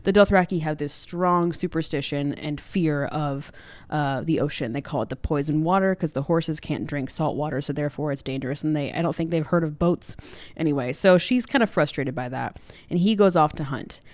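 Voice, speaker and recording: A woman with a low voice in a dry, close recording chats casually as a podcast co-host. She retells a story informally, slipping into bits of dialogue as she goes. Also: fairly quick, a little mellow, no echo. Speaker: book club podcast co-host. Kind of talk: casual chatty book recap.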